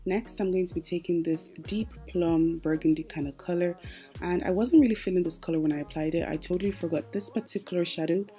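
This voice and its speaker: Young woman, low mellow voice, chatty makeup voice-over, soft music behind. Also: steady tone, brisk. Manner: chatty, explains each step. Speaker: makeup artist.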